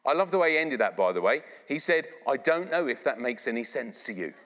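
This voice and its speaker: A man's voice: medium pitch, a little fast. A middle-aged English man preaching a sermon to a live congregation, speaking conversationally and coaxing the listeners to repeat phrases back. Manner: animated, conversational, asks the congregation to repeat lines. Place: church hall, live congregation. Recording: long hall reverb.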